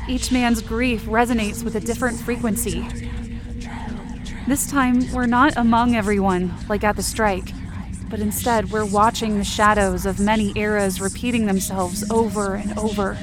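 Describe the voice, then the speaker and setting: A woman's voice: medium pitch, steady tone, moderate pace. A woman performing first-person scripted fiction narration in a suspenseful, intense dramatic manner. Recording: music bed under the voice. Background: dramatic background music.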